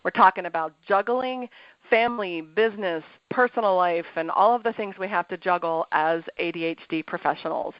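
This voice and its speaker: A woman's voice: on the low side, normal speed, no room sound, highs cut off. A woman co-hosting a podcast as a coach, reading her intro in an upbeat, polished way and then interviewing a guest. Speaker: podcast co-host and ADHD coach.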